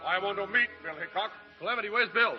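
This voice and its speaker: An actor playing a brisk, high-voiced frontier scout. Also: male voice, soft background music, close to an everyday voice. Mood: urgent. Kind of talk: 1930s radio drama acting. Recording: muffled, distant 1930s radio broadcast.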